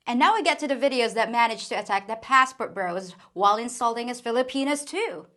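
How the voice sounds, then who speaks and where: A woman's voice: on the high side, animated, brisk, fairly bright tone. A woman from the Philippines hosting a scripted commentary video, speaking with wry, playful sarcasm. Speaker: commentary channel host.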